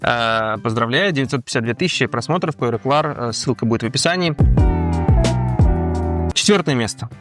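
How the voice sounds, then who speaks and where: A man's voice: mid-range voice, expressive, brisk, long pauses, over music. A man hosting a quiz-style show in a casual, conversational way. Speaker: host of a quiz-style show about cartoons.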